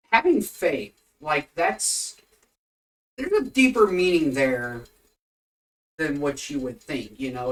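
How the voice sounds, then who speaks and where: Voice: medium pitch, far from the mic. Rambling, teasing home podcaster, slightly off-mic. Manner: rambling, teasing, easily sidetracked. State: yawning, tired. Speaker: co-host. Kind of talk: casual religious chat podcast. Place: home room with pets.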